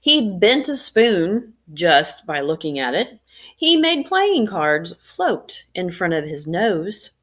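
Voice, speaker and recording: A woman's voice: on the low side, very animated, slow, a bit bright. A middle-aged woman, an educator, reading aloud expressively and speaking to young children. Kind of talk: read-aloud storytelling for children.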